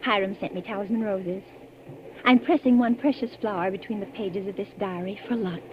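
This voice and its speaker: An actress playing a lonely, naive, romantic spinster bride who grows nervous, with a wistful, fluttery delivery in a 1940s radio suspense style. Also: female voice, mid-range voice, brisk, background noise, natural voice. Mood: dreamy, romantic. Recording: muffled vintage radio recording.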